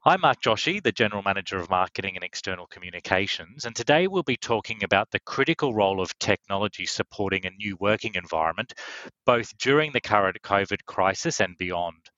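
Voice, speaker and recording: Bright-toned corporate podcast host. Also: male voice, fairly low pitch, expressive, brisk, no room sound, lo-fi sound. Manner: polished, scripted intro, upbeat. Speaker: podcast host, marketing manager.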